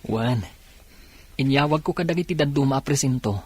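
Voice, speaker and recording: A man playing a brisk, matter-of-fact police officer in a radio drama. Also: mid-range voice, close to an everyday voice. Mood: urgent. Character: steady male police officer. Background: drama music.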